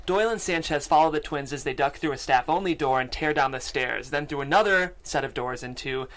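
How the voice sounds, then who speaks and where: A man's voice: on the high side, brisk, fairly bright tone. Young man hosting from a podcast studio. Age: young adult. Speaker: show host and stage-direction reader. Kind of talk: casual hosting and scripted script narration. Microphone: close studio microphone with foam windscreen.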